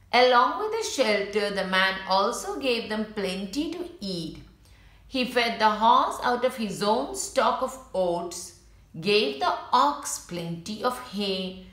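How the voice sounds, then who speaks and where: A woman's voice: mid-range voice, fairly bright tone. A woman reading a children's storybook aloud, adding simple explanations for young listeners. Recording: roomy, echoing room.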